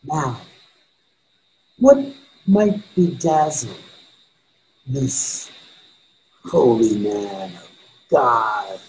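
An older man acting a contemptuous, gleeful devil in amateur readers theater, plotting in a deliberate, drawn-out delivery. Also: mid-range voice, expressive, darkish tone, poor audio. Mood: scheming. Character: scheming devil, old male tempter, contemptuous and gleeful. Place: indoors at home, video call.

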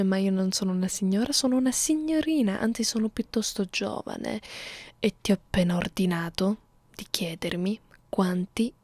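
A woman playing a young, haughty, predatory female vampire in an ASMR roleplay, moving between whispers and soft speech in a condescending, teasing way. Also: medium pitch, dry recording. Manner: slow, teasing, condescending, menacing. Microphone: close ASMR microphone.

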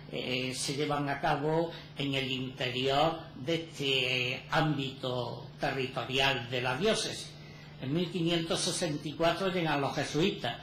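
A man giving an academic lecture at a conference, measured and methodical, with frequent fillers and self-corrections. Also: on the high side, fairly bright tone. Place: conference hall. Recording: echoey hall with faint background noise.

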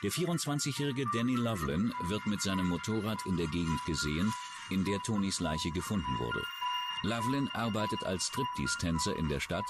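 Low-voiced male true-crime narrator. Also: lively intonation, brisk. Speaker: documentary narrator. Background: background music.

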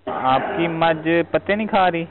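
Male emergency operator, Pakistani accent, highish voice, patient, phone-band sound. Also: crowd noise. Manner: polite, patient. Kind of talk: phone call. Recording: narrow tinny phone-line recording.

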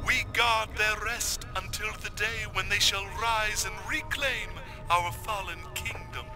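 An ancient undead tomb guardian from a fantasy game, zealous and ceremonious. His voice is unusually high for a male and very measured, over clear music. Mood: solemn, ominous. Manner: grave, archaic, ceremonious. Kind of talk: fantasy video game voice acting.

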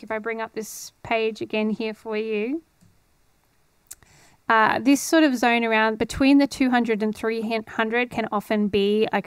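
Clear, high-voiced woman giving a tutorial. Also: dry recording. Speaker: host of an audio tutorial series. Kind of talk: casual tutorial explanation. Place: indoor home studio. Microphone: close studio microphone on boom arm with headphones.

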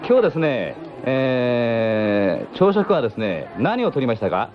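冗談まじりにからかうような口調で、生放送の電話インタビューを進める男性のラジオ司会者。. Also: male voice, mid-range voice, big pitch swings, a little slow. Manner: jocular, teasing. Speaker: radio show host. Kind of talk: live radio phone interview. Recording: dull lo-fi off-air radio tape, crowd behind.

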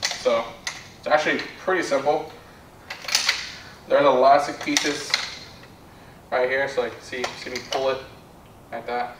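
A young man giving a casual product demonstration in a plainspoken, low-key way, recorded indoors on a distant camera mic. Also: steady tone, a little slow, long pauses. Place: bare indoor room with carpet. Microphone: far camera. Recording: roomy echo, distant mic, background noise.

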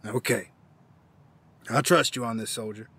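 A gravelly, forceful old veteran , acted by a man, down on his luck but proud. He talks in a gruff, wry, folksy way, as in an audio drama. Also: medium pitch, a little slow, heavily acted. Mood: weary, reluctant.

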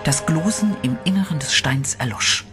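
A man narrating a horror radio play in a steady, dramatic storytelling voice, with eerie music behind him. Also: a little high, normal speed.

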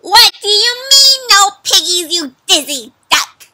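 Woman playing a shrill, bossy storybook witch. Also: lively intonation, takes it slowly, background noise, heavily acted. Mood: angry shouting. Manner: shouting, shrill, bossy demands. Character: greedy, ill-tempered female witch.

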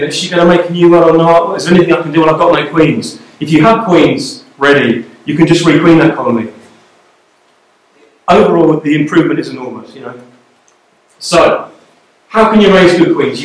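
A man giving a live beekeeping talk to a club, conversational and checking in with the audience, recorded by a camera at the back of a darkened lecture room. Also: fairly high pitch. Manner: conversational, checks in with "okay?" and "you know?". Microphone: far camera at the back of the room. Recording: very echoey room, camera far off.

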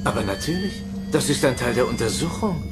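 Man acting a cold alien commander over loud music. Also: mid-range voice, sing-song, brisk, close to an everyday voice. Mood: cool menace. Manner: detached, condescending. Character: cold, superior male alien commander experimenting on humans. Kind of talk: German sci-fi radio drama acting.

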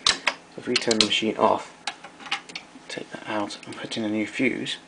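A man's voice: on the low side, little pitch movement, measured pace, creaky, heavy background noise. A man giving an unscripted technical demonstration in a matter-of-fact, somewhat hesitant way. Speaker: demonstrator of vintage tape recorder.

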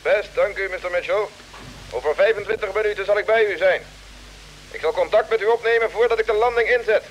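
High-voiced space pilot over radio. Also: male voice, fast talker, low-quality recording. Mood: dutiful, upbeat. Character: polite, eager male space pilot reporting in. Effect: radio transmission. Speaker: radio drama actor. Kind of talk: vintage Dutch radio play acting. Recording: hissy old radio drama recording.

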